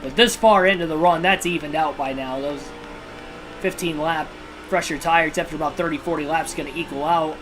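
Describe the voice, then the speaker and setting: A man's voice: a little high, a little fast. Man calling a video game race. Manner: steady broadcaster-style narration mixed with personal asides. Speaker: livestream host and race commentator. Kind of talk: realistic play-by-play racing commentary. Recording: video game music clearly behind voice.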